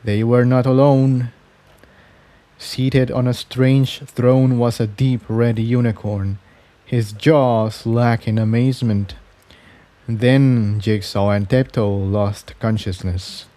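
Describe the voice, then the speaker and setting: A man's voice: medium pitch, fairly even intonation, slow pace, dark tone. A man reading fan fiction aloud as scripted story narration.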